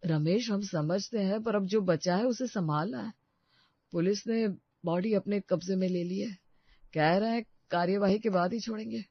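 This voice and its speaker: Guy doing a sad old dad in a cartoon story, pretty high voice, earnest, trying to calm everyone, very dry sound. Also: moderate pace, no room sound, dull audio. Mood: placating. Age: older. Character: grieving, placating elderly family father mourning his Bittu. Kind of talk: Hindi moral story cartoon voice acting.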